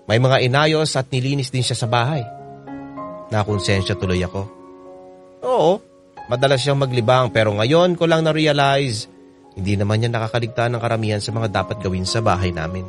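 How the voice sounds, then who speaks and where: A man's voice: medium pitch, lightly acted. A man with a Filipino accent as a reflective narrator, reading a troubled letter writer's story in a radio drama. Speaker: letter reader. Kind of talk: first-person dramatic narration of a listener's letter. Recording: studio narration with music underneath.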